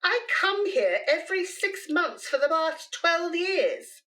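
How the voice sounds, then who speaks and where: An actress in a comedy radio play, playing an indignant, easily offended lady with a haughty, drawn-out delivery. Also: very high voice, very animated, bright, forceful tone, exaggerated voice. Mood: affronted. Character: indignant, easily offended female regular blood donor.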